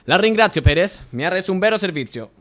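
An actor playing a confused, easily swayed judge in a radio play, stammering and repeating himself anxiously. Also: male voice, high-pitched, lively intonation, quick pace, fairly bright tone. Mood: smug, scheming. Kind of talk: theatrical radio drama acting.

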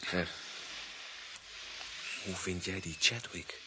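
A man's voice: sits low, slow. A man playing an uneasy, suspicious policeman on night watch in a radio play, chummy and teasing with his colleague. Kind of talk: Dutch radio play acting. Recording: noisy radio play recording.

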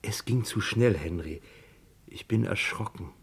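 An actor playing an anxious, simple-minded sidekick in a German radio crime play, husky, hesitant and worried. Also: male voice, on the low side, unhurried, exaggerated voice. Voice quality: creaky, husky.

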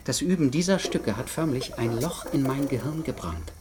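A man's voice: medium pitch, expressive, moderate pace, close to an everyday voice. A man acting in a German radio play as a formal, officious investigator with an anxious streak. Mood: confiding, uneasy. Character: fussy, anxious male aviation authority investigator haunted by Brahms.

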